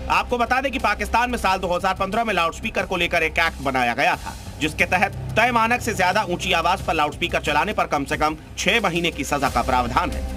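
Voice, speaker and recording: A male news anchor reading a pointed, rhetorical scripted voice-over. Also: fairly high pitch.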